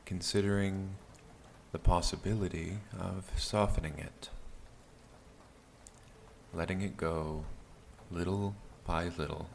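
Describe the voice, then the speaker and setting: Male meditation guide, low-pitched and unhurried, calm and gentle, over a steady rain backtrack. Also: gravelly, slight background noise. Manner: calm, soothing, gentle phrasing with long pauses. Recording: steady rain sound behind the voice.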